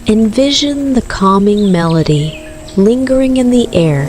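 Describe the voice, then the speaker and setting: A woman narrating a scripted bedtime story for toddlers in a soothing, gentle, unhurried manner. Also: mid-range voice, lively intonation, a little hiss or hum. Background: music with rainforest night sounds of rain, crickets and frogs.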